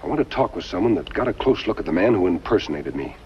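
Masked lawman hero, very low voice, measured, earnest, old muffled western soundtrack. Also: sing-song. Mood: resolute. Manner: measured, deliberate delivery. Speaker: lead actor in a western series. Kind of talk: 1950s television western acting. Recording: muffled, noisy 1950s film soundtrack.

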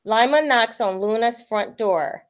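A woman reading a children's picture book aloud as a storyteller, with expressive delivery. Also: fairly high pitch, unhurried.

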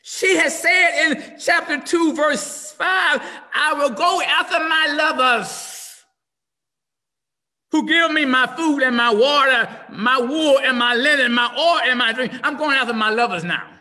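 A middle-aged man preaching an impassioned sermon from home over a video call, swinging from shouts down to hushed near-whispers in a repetitive cadence. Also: very high voice, a little slow. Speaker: pastor. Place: home room with window blinds. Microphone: video call webcam. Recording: video call audio with long reverb.

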